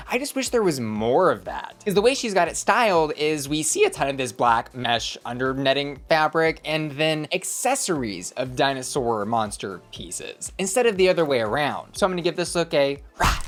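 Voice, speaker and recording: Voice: mid-range voice, fairly bright tone. An American host with a feminine-sounding voice giving scripted review commentary with casual asides and campy, theatrical emphasis. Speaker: host of a drag review show.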